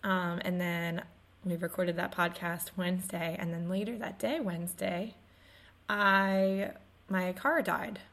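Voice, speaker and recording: A woman in her twenties co-hosting a podcast in casual chat, her voice still a little raspy after losing it, telling stories with laughs. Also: on the low side, steady tone, a little slow, fairly bright tone. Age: 20s. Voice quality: slightly raspy. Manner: chatty, laughing storyteller. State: recovering from lost voice. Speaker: podcast co-host.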